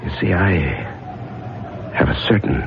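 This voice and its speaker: A man playing a dignified gentleman killer in a 1970s radio drama, polite, formal and halting, with a coldly menacing calm. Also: natural voice. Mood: quietly menacing. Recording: muffled, noisy old 1970s radio recording.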